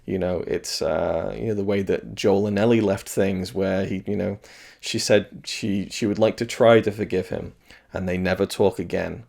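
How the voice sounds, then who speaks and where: A slightly hoarse man reviewing video games in a casual, rambling solo talk, thoughtful and full of restarts. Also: low voice, steady tone, moderate pace, a little mellow.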